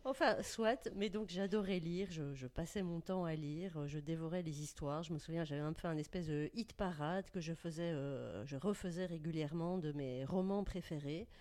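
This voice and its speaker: A woman's voice: low-pitched, moderate pace, few pauses, darkish tone. A woman, chatting and reminiscing in a round-table discussion.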